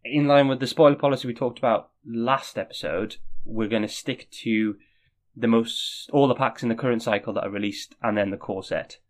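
A man co-hosting a casual podcast chat, friendly and a little halting, often restarting his sentences. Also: mid-range voice. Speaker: podcast co-host.

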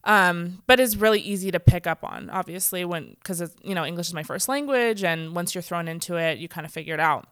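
Woman guest, casual and chatty, lots of fillers, smooth voice. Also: fairly low pitch, fairly quick, few pauses, a bit bright, dry recording, clean studio sound. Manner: chatty, many "like" fillers, acts out quoted speech. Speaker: guest speaker. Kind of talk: casual conversation. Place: church stage.

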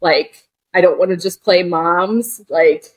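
A woman's voice: lowish voice, slow pace, husky. Candid woman in her forties. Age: 40s. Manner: searching, full of "like" and restarts. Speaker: guest, actor and director. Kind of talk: candid podcast conversation.

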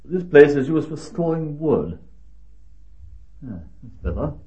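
A man playing an excitable, stammering British country gentleman in an old-time radio drama. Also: a little high, a little slow. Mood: excited. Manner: stammering, hesitant, flustered. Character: excitable, bewildered English gentleman, owner of old house. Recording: dull vintage radio recording.

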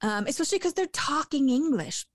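Woman kinda high voice, rambly movie chat, laughs a lot, dead dry sound. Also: expressive, brisk, no room sound. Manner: chatty, laughs often, many fillers. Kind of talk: casual movie review chat.

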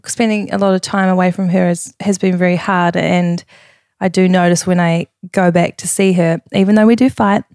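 A woman's voice: lowish voice, fairly even intonation, moderate pace, darkish tone, no echo, studio quality. A young woman with a thick New Zealand accent, chatting openly as a podcast guest and laughing often. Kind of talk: casual podcast chat.

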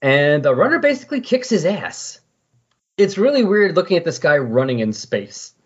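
A man's voice: fairly high pitch, big pitch swings, normal speed, slightly rough. A man hosting a podcast, talking through comic books in a casual, explanatory, conversational way. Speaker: podcast host. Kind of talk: casual comic book discussion. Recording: dull lo-fi voice recording.

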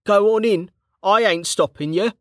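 A narrator voicing Kettle, a rough, cheeky male guard who talks in brash slang. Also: high-pitched, moderate pace, fairly bright tone, no room sound, studio quality, voice pushed up.

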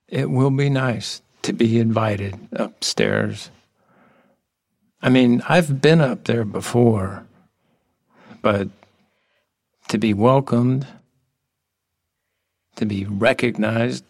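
A mysterious creature played by a man in a radio play, gentle and courtly. He talks slowly and measuredly, with formal, old-fashioned politeness. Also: medium pitch, vocal fry, heavily acted. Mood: courtly, grateful.

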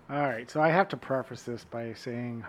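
A man's voice: medium pitch, unhurried, a little hiss or hum. A father on a casual podcast telling a story hesitantly and sheepishly. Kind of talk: casual chat.

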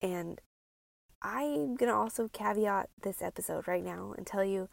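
A woman's voice: medium pitch, a little slow, gravelly, no echo. A woman hosting a chatty solo podcast, speaking informally and emphatically straight to her listeners, with a stuffy, congested nasal sound. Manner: animated, emphatic, repeats phrases for effect; informal, conversational, addresses listeners directly. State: stuffed-up nose. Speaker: podcast host and health coach for moms.

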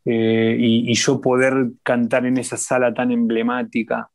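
A man from Argentina with an Argentine accent, a singer being interviewed. He tells stories in a warm, expressive way and lingers on repeated words. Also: medium pitch, a little slow. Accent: Argentine Spanish. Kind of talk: reflective conversational storytelling.

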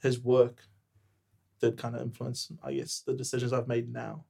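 A young man from New Zealand talks as a guest on a casual podcast. He speaks in a relaxed, hesitant way, full of ums, and laughs easily. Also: medium pitch, moderate pace, vocal fry.

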